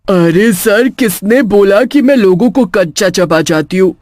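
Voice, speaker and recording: A woman's voice: medium pitch, unhurried, a little mellow, no room sound, clean studio sound, natural voice. A woman voicing a lonely female witch who runs a small food stall, coaxing yet menacing, in a cartoon horror story.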